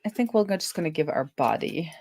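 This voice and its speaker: A woman's voice: sits low, animated, fast talker, darkish tone, no echo. A woman live streaming a casual coding walkthrough, talking informally and thinking aloud to herself as she works.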